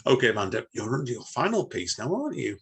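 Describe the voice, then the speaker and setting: A man hosting a podcast, reading his own fiction and chatting casually in a halting, informal way. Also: medium pitch, sing-song, creaky, a bit bright, husky. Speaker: podcast host. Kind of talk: reading own short story, casual chat.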